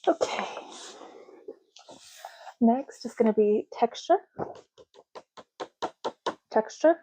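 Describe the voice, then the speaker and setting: A woman doing a soft-spoken ASMR roleplay, talking slowly and casually and often repeating words in a deliberate way. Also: a little high, expressive, poor audio.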